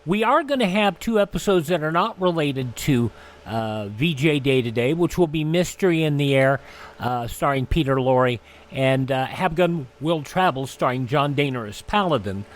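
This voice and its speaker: A man hosting a radio program in a conversational, somewhat halting and rambling way. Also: on the high side, animated. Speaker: radio show host.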